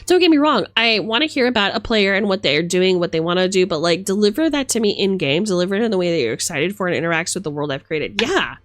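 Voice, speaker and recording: A woman's voice: medium pitch, lively intonation, fast talker, hardly any pauses, no room sound. A woman streamer and game master delivering a casual, opinionated rant, emphatic, theatrical and sweary, close on the microphone. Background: music.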